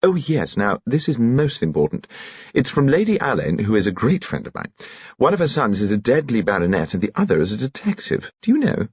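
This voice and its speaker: A man's voice: medium pitch, wildly swooping pitch, fairly quick, darkish tone, slightly rough, dry recording, lo-fi sound, voice pushed up. A man voicing a breezy, charming society woman in her late thirties. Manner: gushing, breezy.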